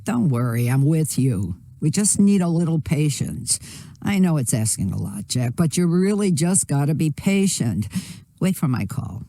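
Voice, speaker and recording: A man's voice: a little high, sing-song, moderate pace, warm tone, dry recording. A man playing a chatty, protective older parent-in-law in video game dialogue, rambling and reassuring. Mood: soothing, firm.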